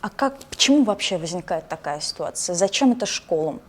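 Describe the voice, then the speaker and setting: A woman's voice: lowish voice, lively intonation, fairly quick. Woman interviewer asking probing questions.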